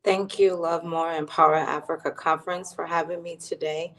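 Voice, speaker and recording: A woman's voice: low voice, little pitch movement, normal speed, fairly bright tone. A woman raised in the United States introducing herself on a business panel, listing her roles in an upbeat way. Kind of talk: panel discussion.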